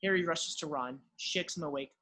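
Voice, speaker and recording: A man's voice: fairly high pitch, normal speed, bright, forceful tone, slight background noise. A man reading screenplay stage directions as narrator in an amateur table read.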